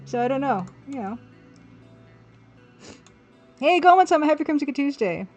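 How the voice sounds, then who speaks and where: Woman streaming art, kinda high voice, just chatting and thinking out loud, bit of music in the back. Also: sing-song, normal speed. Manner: informal, thinking aloud, reading out chat comments. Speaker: livestream host and pixel artist. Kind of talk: casual chat while drawing on a livestream.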